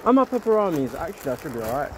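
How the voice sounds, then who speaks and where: A man's voice: a little high, big pitch swings. A young man chatting casually with friends while resting on a hike, filmed on a handheld phone outside. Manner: chatty, quick questions, offhand. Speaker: vlogger on hiking expedition. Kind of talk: casual chat with friends outdoors. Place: outdoors on a grassy hillside. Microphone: handheld phone camera. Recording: outdoor wind and ambient noise.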